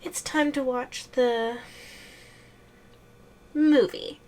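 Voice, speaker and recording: A woman plays a possessive yandere giantess in an ASMR roleplay. Her voice sits fairly high and she takes her time over every phrase. She teases playfully, humming and sighing as she toys with her tiny partner. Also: steady tone, a little mellow. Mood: playful teasing. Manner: slow, playful, teasing delivery with hums and sighs. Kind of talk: ASMR audio roleplay acting.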